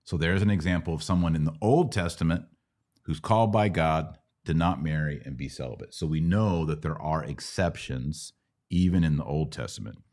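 A middle-aged American man with a notably low voice. He talks like a podcast host and teacher, explaining informally and prompting his listener with quick questions. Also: a little mellow. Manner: didactic, prompts with questions and rhetorical checks. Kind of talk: conversational live Bible Q&A teaching.

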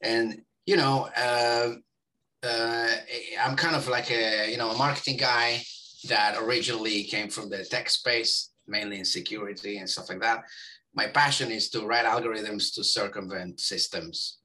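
A man's voice: fairly low pitch, steady tone, moderate pace, sharp tone, low-quality recording. A man in his forties with an Israeli accent, talking casually as an interview guest, rambling with many fillers and restarts. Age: 40s.

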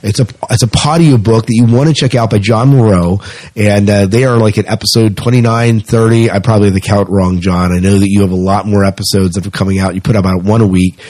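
Low-voiced man hosting a podcast, dry sound. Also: fairly even intonation, quick pace, darkish tone, no echo. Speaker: podcast host. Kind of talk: casual podcast chat.

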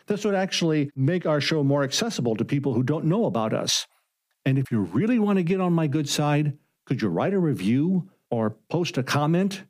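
A man's voice: medium pitch, a little mellow. A man, a show's creator, speaking out of character in a scripted appeal to listeners. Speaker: show creator and lead actor.

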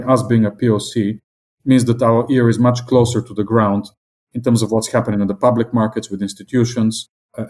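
A middle-aged man from Southern Africa with a non-British accent, answering interview questions as an investment executive in a measured, optimistic, businesslike way. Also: mid-range voice, darkish tone. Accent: non-British English accent.

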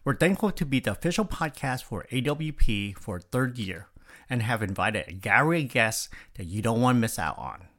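A man delivers a scripted podcast intro as its announcer. His pitch is average for a man and moves up and down in a lively, animated way. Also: brisk.

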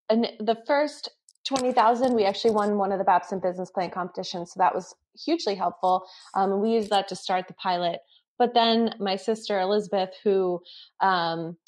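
A woman in her thirties, a company founder, answering interview questions with enthusiasm and frequent ums. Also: mid-range voice. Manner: enthusiastic, lots of fillers. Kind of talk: casual interview chat.

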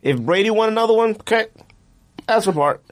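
A man's voice: high-pitched, expressive, a little fast. An American man hosting a casual sports and sneaker podcast, speaking bluntly in slang and repeating himself for emphasis. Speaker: podcast host.

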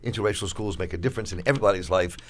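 A man's voice: fairly low pitch, very fast, background noise. A man hosting a radio talk show, moderating a panel and pressing his question with emphasis. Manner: probing, repeats questions emphatically.

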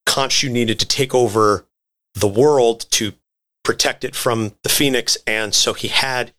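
A man's voice: medium pitch, steady tone, a little slow, sharp tone. A man co-hosting a podcast, explaining comic book history in a conversational, articulate and wordy way. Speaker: podcast co-host.

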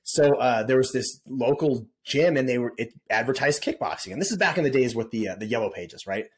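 A man's voice: medium pitch, expressive, a little fast. A middle-aged man telling stories as a podcast guest in a casual, laid-back way, often saying dude and man. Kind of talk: casual storytelling interview.

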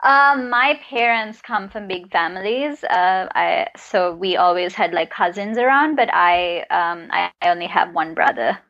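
Young female guest from Sri Lanka, low voice with some vocal fry, hesitant and upbeat; dull lo-fi recording. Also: measured pace, few pauses. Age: young adult. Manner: hesitant, many ums, upbeat. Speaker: guest scientist. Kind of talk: casual interview answers. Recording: dull lo-fi audio.

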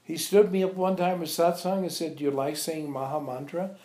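A man informally reminiscing to visitors as a spiritual teacher, telling animated anecdotes and acting out remembered dialogue. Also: fairly high pitch, moderate pace. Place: echoey indoor room at an ashram. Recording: roomy, echoey sound.

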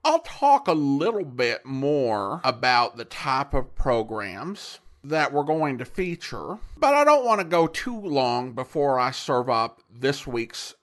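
A man's voice: mid-range voice, big pitch swings, slow pace, few pauses. A man hosting a podcast, delivering a scripted introduction. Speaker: podcast host.

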